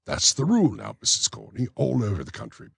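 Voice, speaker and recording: Man voicing a pompous beadle, blustering, preachy, gravelly, close dry sound. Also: mid-range voice, wildly swooping pitch, brisk, a bit bright, no room sound, voice pushed up, exaggerated voice. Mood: smug. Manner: blustering, self-satisfied, preachy. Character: pompous self-important male parish beadle.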